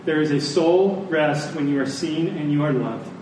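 A man's voice: a little high, normal speed. A man preaching a sermon in an earnest, emphatic manner, repeating phrases for weight. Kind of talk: sermon, closing in prayer. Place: large echoing room, church service. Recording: echoey large room, distant, faint noise.

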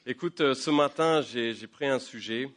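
A pastor gives a sermon to his congregation while he has a head cold, at a middling pitch for a man. His delivery is conversational and full of small restarts and fillers. At its peaks it rises in pitch and loudness. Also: normal speed. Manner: conversational, with frequent fillers and repeated words; rises in pitch and volume when building to a point. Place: church.

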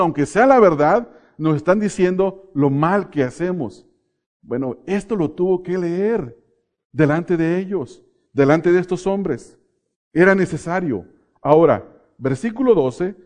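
A middle-aged Mexican-born man delivers a sermon in a fairly high voice. He asks his listeners questions, then answers them himself. He drags out the key phrases for weight. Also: a little mellow. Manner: slows down to stress key phrases. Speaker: preacher.

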